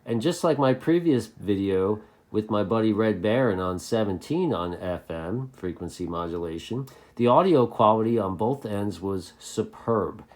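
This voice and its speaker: American male hobby vlogger, lowish pitch, casual and unscripted, rambling delivery with repeated phrases. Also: expressive, normal speed, few pauses, darkish tone. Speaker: CB radio hobbyist video host. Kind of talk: casual unscripted video chat to fellow hobbyists.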